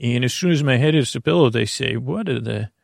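A man hosting a sleep podcast in a gentle, meandering, halting monologue full of tangents and false starts. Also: medium pitch, gravelly, no room sound, studio quality. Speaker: podcast host. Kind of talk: rambling bedtime monologue to help listeners sleep.

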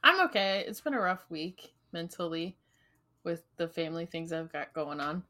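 A woman's voice: on the low side, normal speed, sharp tone. A woman co-hosting a book podcast in casual chat, often laughing and offering short supportive replies. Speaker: podcast co-host.

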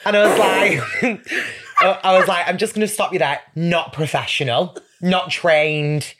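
A man's voice: sits high, fairly even intonation, a little slow, fairly bright tone. Jokey young man telling anecdotes. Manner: jokey, self-mocking, giggly. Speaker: podcast guest, reality TV personality. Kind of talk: casual chat, telling anecdotes.

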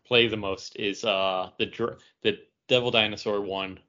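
Male panelist, low but bright forceful voice, casual chat, dull recording. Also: fairly even intonation, measured pace. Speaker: podcast panelist. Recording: dull lo-fi audio.

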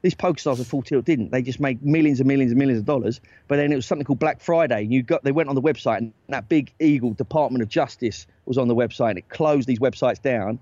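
A man, a talent agent and guest on a podcast, telling stories in a loose, animated way with lots of "right?" tag questions. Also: medium pitch, fast, few pauses, no room sound. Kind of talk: casual storytelling chat. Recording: dry, dull lo-fi sound.

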